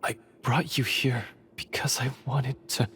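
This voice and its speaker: A man playing a melodramatic, brooding treasure-hunting schemer in a video game, with halting, dramatic delivery. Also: medium pitch, fairly even intonation, sharp tone, a little hiss or hum. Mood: shaken.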